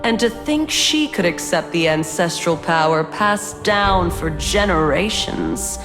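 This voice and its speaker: A woman voicing a haughty, cold ancient female spirit who judges heirs in a game, measured and condescending, with a long reverb. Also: fairly low pitch, lively intonation. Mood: scornful. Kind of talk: dramatic game voice acting. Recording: long cavernous reverb, music behind.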